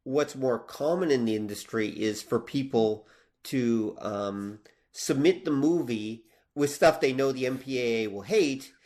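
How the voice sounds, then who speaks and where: A man's voice: mid-range voice, unhurried, darkish tone. A man co-hosting a podcast, explaining his points casually and agreeably. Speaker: podcast co-host.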